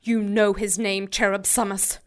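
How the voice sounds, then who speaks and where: A woman's voice: medium pitch, little pitch movement, measured pace, a little hiss or hum, close to an everyday voice. A woman playing a principled, defiant angel in an audio drama, speaking firmly and formally. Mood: guarded. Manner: firm, formal, dignified. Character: principled, defiant female angel, calm and steadfast.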